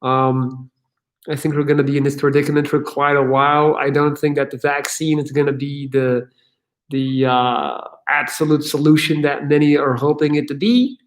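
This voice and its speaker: A man hosting a casual livestream Q&A, answering viewer questions in an energetic, profane way with many fillers. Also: fairly high pitch, normal speed.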